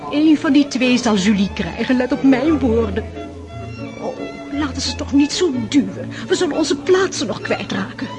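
An actress in a Dutch radio drama playing a gossipy, sharp-tongued society lady, knowing and haughty. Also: high voice, expressive, normal speed, over music. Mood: knowing, catty.

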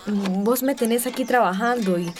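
A coy, soft-hearted female helper played by a radio actress speaks. She is shy and sweet. Light music plays underneath. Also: a little high, moderate pace, close to an everyday voice. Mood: timid, caring. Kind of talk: radio drama acting.

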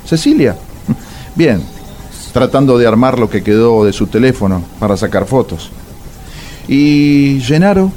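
Casting: Argentine male narrator, warm mid-pitched voice, theatrical comic storytelling with dramatic pauses, faint noise behind. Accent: Argentine, Rioplatense.

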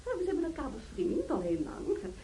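A snobbish, flighty sister-in-law in a radio drama. Her voice sits very high and swoops in a lively, affected way. The old recording has audible noise behind her. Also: brisk. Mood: affected, boastful. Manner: flighty, affected, self-important. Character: carefree, snobbish, giggling sister-in-law putting on airs. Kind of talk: radio play acting.

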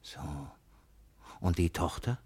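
An actor playing a sly, domineering old father in a period radio comedy, with hesitant, probing speech and a quick temper. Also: mellow, natural voice. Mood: cunning interrogation. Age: older. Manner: hesitant probing questions, repeated short words. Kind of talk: German radio play acting, period comedy.

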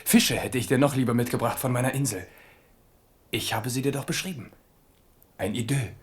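A man's voice: medium pitch, normal speed, lightly acted. An actor playing a bold, boyish, impulsive warrior king in a theatrical 1970s German radio drama style. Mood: boyish, eager, then tender. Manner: theatrical, swinging between hushed and ringing delivery.

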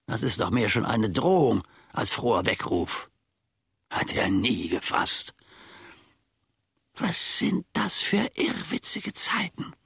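Brooding old servant for radio drama: bright, rasping edge, slow mannered phrasing falling into whispers, bitter and scheming. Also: male voice, mid-range voice, no echo, studio quality, full character voice. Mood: aggrieved, exasperated, then fretful lament. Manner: mannered, dropping into whispers, archaic stilted diction. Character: aggrieved, brooding old manservant scheming against his master. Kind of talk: theatrical German radio play monologue.

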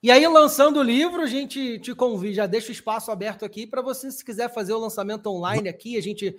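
A male podcast host with an unusually high voice runs a casual interview. He talks in an upbeat, wordy way with lots of fillers. He keeps the conversation moving with friendly remarks. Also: quick pace.

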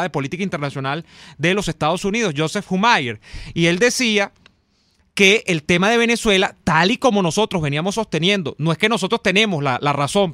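A man hosting a talk show in a studio, explaining the news in a measured way. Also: high-pitched, a bit bright, no room sound. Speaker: host. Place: radio studio.

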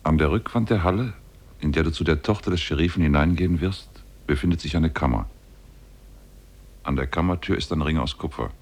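An actor playing a talking ape that is secretly a jinn, solemn, commanding and measured, in a 1950s German radio drama. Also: male voice, very deep voice, darkish tone. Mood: solemn, urging.

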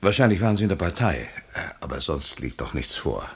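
A jovial company director in a radio play. The voice is very low and husky, yet swings freely as he condescends in a businesslike way. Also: very animated, brisk, exaggerated voice. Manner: condescending, businesslike, smooth-talking. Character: jovial, patronising male West German company director. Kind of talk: German audio drama acting.

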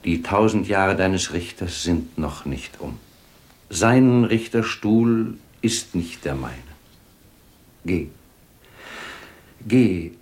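An actor playing a proud, magnanimous sultan in a 1950s German radio drama, with stately, emphatic theatrical delivery and dramatic pauses. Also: male voice, medium pitch. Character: proud, noble, magnanimous sultan, easily moved.

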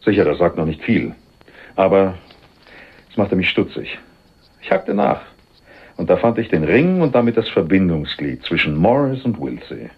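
Muffled old radio thriller, teasing cop, low rough voice that jumps around a lot. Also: male voice, sing-song, normal speed, pauses often, exaggerated voice. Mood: wry, composed. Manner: ironic, teasing, matter-of-fact. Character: wry, cool undercover police detective sergeant. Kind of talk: German radio crime drama acting. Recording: dull, muffled radio play recording.

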